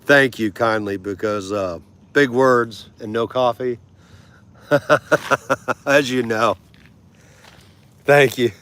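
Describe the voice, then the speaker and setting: A man's voice: mid-range voice, fairly bright tone, smooth. A man, hosting a casual livestream, laughing easily and chatting with viewers. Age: 40s. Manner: chatty, laughs easily, tries foreign words slowly. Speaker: livestream host.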